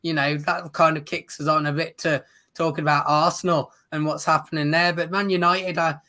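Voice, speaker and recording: A man who has lost his voice, chatting casually as a football show co-host in an agreeable, measured way. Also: on the high side, little pitch movement, pushed and bright. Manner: measured, agreeable, uses fillers like "um" and "you know". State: losing his voice.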